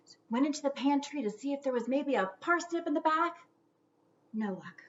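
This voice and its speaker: Woman, high voice, children's storybook reading, theatrical drawn-out words, suspenseful whispers, lo-fi sound. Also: expressive.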